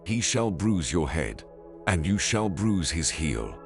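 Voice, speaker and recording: A man's voice: low voice, sing-song, slow, creaky, exaggerated voice. A man playing God as a stern, solemn and authoritative judge in a dramatized Bible reading. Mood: solemn condemning. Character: God, stern commanding male judge pronouncing curses. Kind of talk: dramatized Bible audio acting. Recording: background music under the voice.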